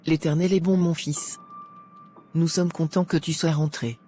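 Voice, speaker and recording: Woman acting a devout, gently counselling mother. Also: low-pitched, steady tone, measured pace, slight background noise. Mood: relieved, thankful. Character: devout, proud middle-aged mother giving gentle counsel.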